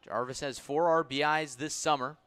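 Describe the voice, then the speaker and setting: A man's voice: on the high side, steady tone, moderate pace, a bit bright, clean tone, faint music behind. A man doing live baseball play-by-play commentary for a radio broadcast.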